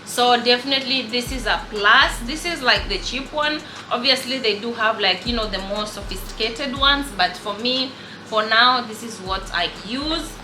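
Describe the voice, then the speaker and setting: Chatty Kenyan nurse, bright voice. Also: female voice, a little high, reverberant, noisy background. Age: young adult. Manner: chatty, rambling, lots of fillers. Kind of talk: casual show-and-tell vlog.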